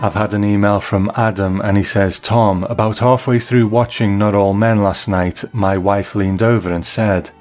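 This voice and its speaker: A man hosting a podcast, reading a listener's email aloud in a measured, articulate way. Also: on the low side, a little mellow.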